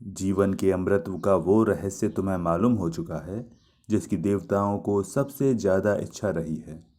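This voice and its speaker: A man with a low, mellow voice tells a bedtime story. He reads slowly and theatrically, with great expression in each measured phrase. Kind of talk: dramatic bedtime story narration.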